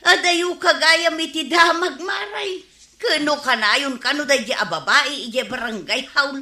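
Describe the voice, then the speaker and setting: An actress playing a teasing village gossip who relishes scandal in an Ilocano comedy radio drama, insinuating, with an Ilocano accent. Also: female voice, high voice, normal speed, pushed and bright, reverberant.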